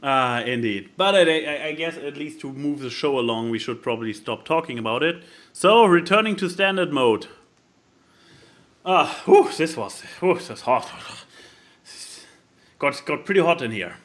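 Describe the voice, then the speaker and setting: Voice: mid-range voice, expressive, normal speed, a bit bright. A podcast host chatting casually, with stammering starts and jokey asides. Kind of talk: casual chat.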